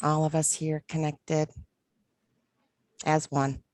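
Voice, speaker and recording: A woman hosting a group call, leading a calm guided grounding meditation and introducing guests. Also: sits low, slow, dry recording, studio quality. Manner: soft, calm guiding. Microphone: video call.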